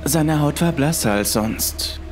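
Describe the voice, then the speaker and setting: Man, somewhat high voice, scripted horror narration, first person, music behind. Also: expressive, slow pace.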